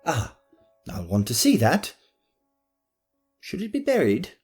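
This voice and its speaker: A man's voice: mid-range voice, wildly swooping pitch, slow, a little mellow, husky, faint music behind, heavily acted. A man voicing a greedy, haughty nobleman in an audio drama, speaking in a formal, mannered way. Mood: prying. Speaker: voice actor in a fan audio drama.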